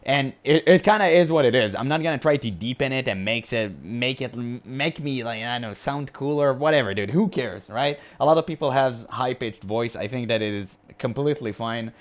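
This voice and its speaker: A man with a high voice, by his own account, hosting a reaction video. He talks casually, with a blunt and mocking edge, and laughs often. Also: fairly quick, few pauses.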